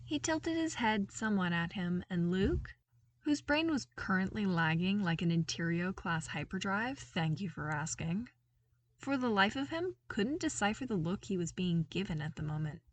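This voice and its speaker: A woman's voice: medium pitch, expressive, normal speed, distant mic. A woman reading a scripted story aloud as an audiobook-style narrator. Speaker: podfic narrator.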